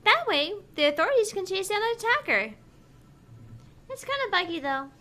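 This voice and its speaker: Very high, bright, cutesy android girl. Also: sing-song, normal speed, exaggerated voice. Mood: matter-of-fact. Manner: cutesy, forward, teasing.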